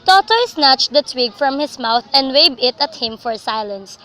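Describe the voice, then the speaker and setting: High, bright woman narrating a radio drama. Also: animated, normal speed.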